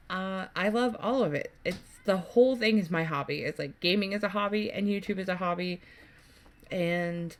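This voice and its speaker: A woman doing casual gameplay commentary as a YouTuber, rambling along in a chatty, enthusiastic way. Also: mid-range voice. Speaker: gaming YouTuber. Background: game music.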